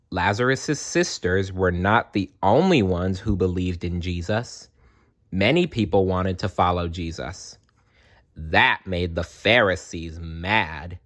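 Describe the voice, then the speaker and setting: A storyteller reading aloud to children in an expressive way, with dramatic pauses and playful asides. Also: low-pitched.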